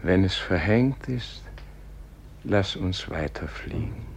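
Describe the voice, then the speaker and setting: Gentle old man in a radio play, deep-ish voice, really slow, solemn, lyrical, trails off hushed. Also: animated, creaky, slight background noise, exaggerated voice. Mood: calm, questioning. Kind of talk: German radio play acting, solemn and poetic.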